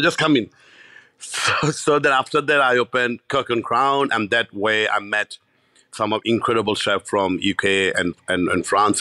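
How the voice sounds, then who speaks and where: A Bangladeshi chef in his fifties, telling his story in an interview, animated and full of restarts. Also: lowish voice, no echo. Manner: animated, many restarts and repeats.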